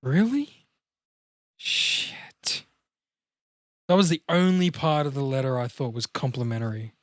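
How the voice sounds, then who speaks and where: A man's voice: medium pitch, lively intonation, measured pace, no echo, close to an everyday voice. A man playing a devastated, freshly dumped young slacker with a downcast, wisecracking manner in a table read of a film comedy. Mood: upset, incredulous.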